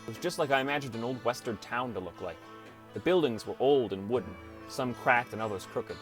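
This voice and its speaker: A man's voice: medium pitch, lively intonation, brisk, fairly bright tone, natural voice. An actor playing a downcast teenage boy who narrates his gaming adventure in an understated, wry first-person audiobook style. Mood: reflective narration. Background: music.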